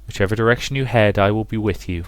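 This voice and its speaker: A man voicing a serene, loving mother in a visual novel, calm, gentle and soothing. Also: fairly low pitch, fast, clean tone, no echo, natural voice. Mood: reassuring.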